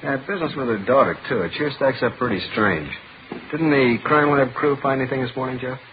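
A man's voice: medium pitch, a little fast, natural voice. A man playing a puzzled, laconic police reporter in a vintage radio crime drama, talking in short, casual, musing phrases. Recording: dull, faintly noisy old radio broadcast.

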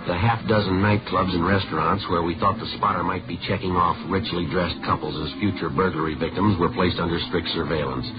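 An actor playing a methodical police detective and narrator in a radio drama, with an American accent and a clipped, matter-of-fact manner. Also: male voice, low-pitched, close to an everyday voice. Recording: dull old radio recording, music behind.

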